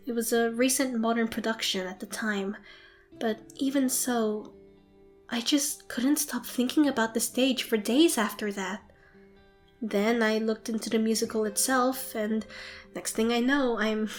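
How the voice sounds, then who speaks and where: A young woman playing a shy, nervous, excitable theatre student in an ASMR roleplay, hesitant, flustered and apologetic. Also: a little high, natural voice. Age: young adult. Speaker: amateur voice actor.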